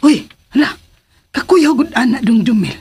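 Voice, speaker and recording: Husky, kindly Cebuano Filipino aunt. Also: female voice, sits high, lively intonation, normal speed, a little mellow, full character voice. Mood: approving. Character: concerned older aunt, kindly and watchful. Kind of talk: radio drama acting.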